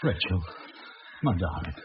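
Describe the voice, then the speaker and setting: An actor playing an alarmed, tender husband in a haunted house, with halting, dramatic delivery in a horror radio drama. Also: male voice, sits low, big pitch swings. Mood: anxious, tender. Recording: dull, noisy old radio recording.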